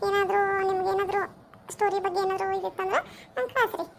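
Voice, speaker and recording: A woman's voice: very high voice, fairly even intonation, fast talker, vocal fry. A woman with a North Karnataka accent, informally addressing viewers as the creator and host of a cartoon story. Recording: faintly noisy home recording.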